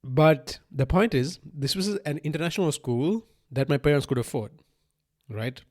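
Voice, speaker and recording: A man's voice: a little high, animated, normal speed, darkish tone. A man in his thirties from Sri Lanka, a native Sinhalese speaker, hosting a solo podcast in a casual, rambling way, circling back over phrases and checking in with "right?". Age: 30s. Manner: rambling, repeats phrases and restarts, often says "like" and "right?". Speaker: podcast host. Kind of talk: casual unscripted solo monologue.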